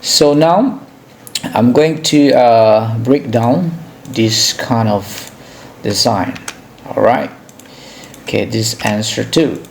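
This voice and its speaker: A man from Indonesia with an Indonesian accent narrates an informal software tutorial as host, in a hesitant, halting way, often repeating himself. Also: mid-range voice, creaky, slight background noise.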